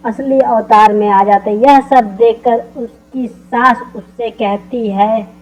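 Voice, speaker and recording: A woman's voice: on the high side, fairly even intonation, slow pace, darkish tone, poor audio. A woman narrating a scripted story.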